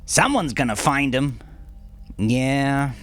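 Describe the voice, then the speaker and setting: A man voicing a tense, hot-tempered younger criminal who speaks in profane, rough language. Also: medium pitch, lively intonation, a bit bright, no echo, voice pushed up. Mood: matter-of-fact. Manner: snarling, profane, halting when explaining.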